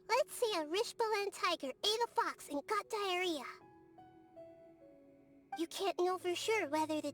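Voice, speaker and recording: Game role: tiny wise child goddess, extremely high voice, earnest, gently inquisitive, over music. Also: female voice, exaggerated voice. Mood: thoughtful. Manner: soft-spoken, thoughtful, quizzical, speaks in animal analogies. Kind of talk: video game character dialogue.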